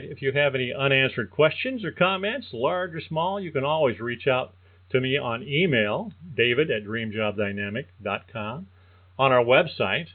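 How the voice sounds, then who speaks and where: Older man with a tinny phone-line sound. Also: medium pitch. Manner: measured, earnest, reads like prepared narration. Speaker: podcast host and career coach. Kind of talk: scripted podcast monologue.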